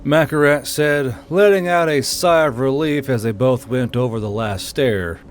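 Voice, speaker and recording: A man's voice: medium pitch, measured pace, slight background noise. A man reading scripted fiction as an audiobook narrator.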